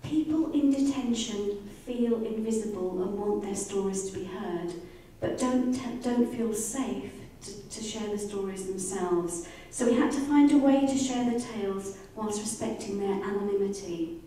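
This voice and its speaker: A middle-aged woman giving a measured, earnest prepared talk as a charity speaker. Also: medium pitch, mellow. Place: indoor library event space with audience. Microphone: handheld microphone. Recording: very echoey room, distant, faint noise.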